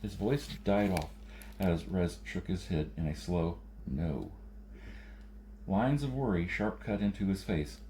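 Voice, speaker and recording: A man's voice: low-pitched, lively intonation, measured pace, dark tone, a little hiss or hum. A man narrating a science fiction novel as an audiobook reader.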